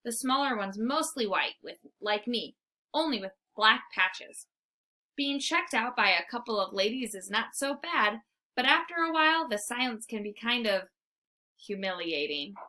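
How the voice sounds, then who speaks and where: A woman narrating a children's story aloud. Her voice is fairly high and bright, with very lively, expressive intonation suited to reading to young listeners. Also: measured pace.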